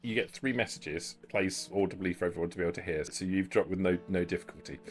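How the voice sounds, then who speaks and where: A man's voice: low voice, fast, soft background music. A man running a tabletop roleplaying game as game master, narrating off the cuff.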